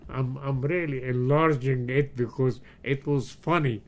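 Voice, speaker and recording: A man in his seventies from Egypt, telling his life story slowly and haltingly in an oral history interview at his home. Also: medium pitch, steady tone. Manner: slow, halting storytelling with many pauses and fillers. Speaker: interviewee, retired engineering professor.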